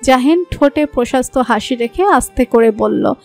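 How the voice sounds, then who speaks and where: High-pitched Bangladeshi woman narrating a story. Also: expressive, normal speed, darkish tone, soft background music. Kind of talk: scripted romantic story narration.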